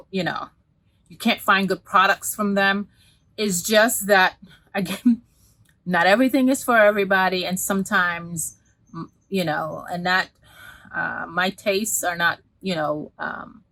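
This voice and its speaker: Bright-voiced woman vlogging. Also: medium pitch, no echo. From Guyana. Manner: rambling with many restarts and fillers. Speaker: beauty vlogger. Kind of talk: casual talk to camera.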